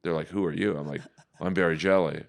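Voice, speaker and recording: A man with a deep baritone voice, chatting casually on a podcast and telling animated, profane stories. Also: fast talker. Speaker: comedian and voice actor guest.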